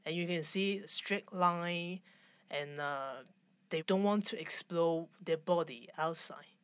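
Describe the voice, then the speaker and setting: A woman in her forties from Hong Kong, speaking with a Hong Kong accent and halting, searching English as an interview guest. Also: lowish voice, clean tone, no room sound. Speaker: fashion designer, guest. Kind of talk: conversational interview.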